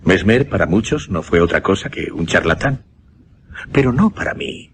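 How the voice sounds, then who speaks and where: An earnest gentleman mesmerist. His telling is formal and deliberate, with widely moving intonation and dramatic pauses, as in a suspense radio play. Faint noise sits behind him. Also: sits low, expressive. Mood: grave, intent.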